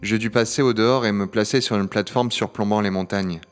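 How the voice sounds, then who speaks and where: A man's voice: on the low side, steady tone, fairly quick, clear, resonant tone, soft background music, close to an everyday voice. A man playing a sceptical dragon hunter who also narrates in a science-fiction audio drama, blunt, sardonic and colloquial. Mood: weary, reflective. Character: Owen, gruff, sceptical male dragon hunter. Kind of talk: French audio drama acting and first-person narration.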